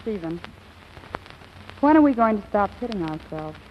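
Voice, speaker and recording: A woman's voice: mid-range voice, sing-song, moderate pace, lightly acted. A woman playing an impulsive, indignant young sweetheart in a 1940s radio comedy, with dramatic emotional delivery. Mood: rueful. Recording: muffled, hissy old radio recording.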